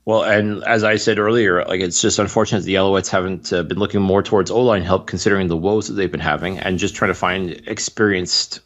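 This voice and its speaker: Low-voiced man talking sports. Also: fairly even intonation, a little fast, hardly any pauses, fairly bright tone, lo-fi sound. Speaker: sports podcast co-host. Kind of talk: casual sports commentary.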